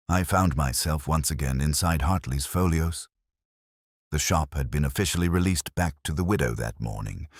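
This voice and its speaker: A man narrating a detective audio drama in the first person as a melancholy, cerebral inspector, in a measured, literary, brooding way. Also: a bass voice, creaky, no echo, studio quality. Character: melancholy, cerebral older male police inspector.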